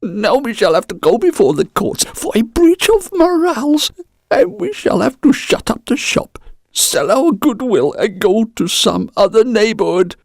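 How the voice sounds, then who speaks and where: A man's voice: sits high, sing-song, measured pace, creaky, no echo, pitched above the performer's own voice, exaggerated voice. A man voicing a meek, flustered haberdasher of sixty, weak and whining, in an audio drama. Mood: aggrieved. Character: meek, flustered sixty-year-old haberdasher husband, aggrieved. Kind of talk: dramatised short story acting.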